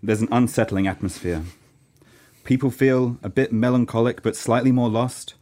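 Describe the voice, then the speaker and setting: Theatrical male narrator, medium pitch. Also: normal speed, mellow. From Norway. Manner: theatrical, storytelling. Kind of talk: scripted radio play narration.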